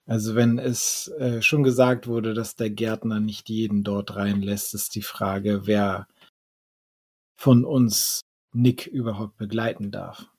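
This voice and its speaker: A cautious, deliberating male vampire, played by a man in a role-play audio drama. The voice is dark and husky. He hesitates often as he searches for words. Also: fairly low pitch, little pitch movement, slow, heavily acted. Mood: thoughtful.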